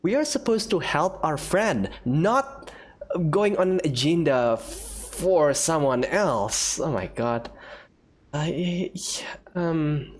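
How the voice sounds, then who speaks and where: A man's voice: fairly high pitch, animated, takes it slowly. A man giving casual commentary as he plays a video game. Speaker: gaming video host. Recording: faint game music behind the voice. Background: game music.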